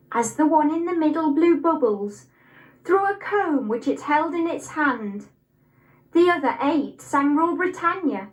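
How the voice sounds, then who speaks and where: A young woman of eighteen with an English accent, reciting poetry in a measured, deliberate, rhythmic way. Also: sits high, rough recording. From England. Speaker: poetry reader.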